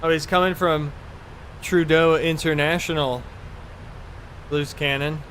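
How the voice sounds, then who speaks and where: Casual man over steady hum. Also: fairly high pitch, measured pace. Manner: rambling, offhand, mildly profane. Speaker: flight sim live streamer. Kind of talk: casual chat while playing a flight simulator.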